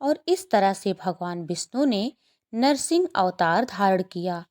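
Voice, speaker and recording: A woman's voice: mid-range voice, animated, measured pace, a little mellow. A woman narrating a scripted children's story as the storyteller.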